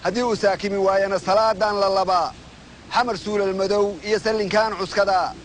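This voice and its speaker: A man's voice: high voice, little pitch movement, fairly quick, a little hiss or hum. A man reciting poetry in a rhythmic, chanting delivery.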